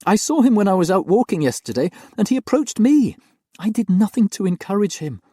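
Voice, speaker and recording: A man's voice: high voice, sing-song, fairly quick, warm tone, no room sound, clean studio sound, voice pushed up. A man voicing a frightened young woman nanny, pleading earnestly through tears.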